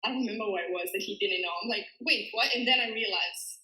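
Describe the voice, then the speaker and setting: A woman's voice: mid-range voice, fast talker, bright, forceful tone. Young israeli woman, guest on a chat show, chatty, keeps laughing and saying like, echoey room. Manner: chatty, laughs often, leans on "like". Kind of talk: casual storytelling.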